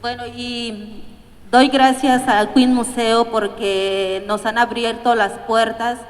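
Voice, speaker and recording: A woman from Mexico with a Mexican Spanish accent, speaking haltingly and nervously at a community panel, with many pauses and fillers. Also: a little high, steady tone. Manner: hesitant, nervous, pausing with fillers. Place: museum event hall. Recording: echoey hall with long reverb.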